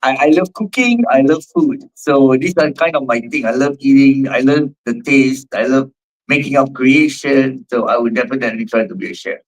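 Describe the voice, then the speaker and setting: A man's voice: mid-range voice, normal speed, low-quality recording. A man in his forties with a Singaporean accent, a fitness trainer answering interview questions in a rambling way with many fillers. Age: 40s. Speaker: guest, fitness trainer and gym owner.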